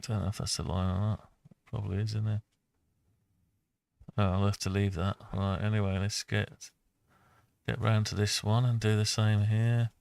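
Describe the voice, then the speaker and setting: A man's voice: fairly low pitch, little pitch movement, normal speed, vocal fry, darkish tone. A man giving a casual think-aloud tutorial, muttering to himself as he talks through each step. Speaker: host of a CAD design tutorial.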